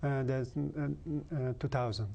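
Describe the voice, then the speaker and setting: A man in his fifties with a Russian accent, a native Kalmyk speaker, answering interview questions haltingly with many pauses and fillers. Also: mid-range voice, steady tone, gravelly, warm tone. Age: 50s. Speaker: guest.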